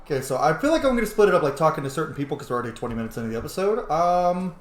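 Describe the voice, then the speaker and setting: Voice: mid-range voice, very animated, rapid-fire, echoey room. A young adult gamer giving casual playthrough commentary, thinking aloud in an informal way with some swearing. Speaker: video game streamer, roleplayer.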